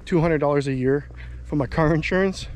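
A man's voice: on the high side, fairly quick, a little mellow, slight background noise. A man in his thirties casually vlogging outdoors while walking along a country road, rambling and thinking aloud. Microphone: handheld camera at arm's length.

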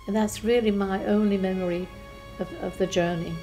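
Old lady in her 80s, from czechoslovakia, soft warm voice, slow careful storytelling w faint music. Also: mid-range voice, fairly even intonation. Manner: gentle, unhurried, with hesitations and restarts. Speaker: interviewee. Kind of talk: recounting childhood memories. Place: living room, seated in armchair.

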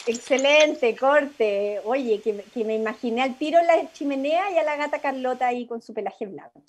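A woman's voice: high voice, moderate pace, a little hiss or hum. A woman with a Chilean accent directing an improvised drama workshop over a video call, encouraging and guiding. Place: indoors at home, on a video call.

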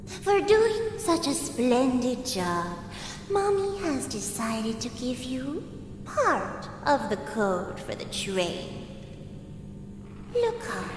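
A sinister toy-monster mother from a video game. Her high voice swoops up and down as she coos with theatrical, doting menace. It rings through an echoey hall with music behind it. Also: very animated, drawn-out words, exaggerated voice. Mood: sulky, threatening.

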